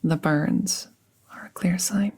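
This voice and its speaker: Woman acting a slow, hushed, gentle magical vet. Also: on the low side, steady tone, full character voice. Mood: focused, concerned. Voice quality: soft, breathy, half-whispered. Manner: slow, hushed, soothing, reassuring. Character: gentle, calm female magical veterinarian treating a wounded werewolf. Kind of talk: soft-spoken roleplay audio, ASMR.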